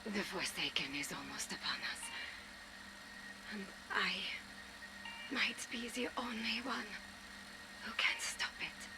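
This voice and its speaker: Determined young woman, soft and slow, kinda whispery. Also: mid-range voice, animated, vocal fry, heavy background noise. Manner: soft, often half-whispered.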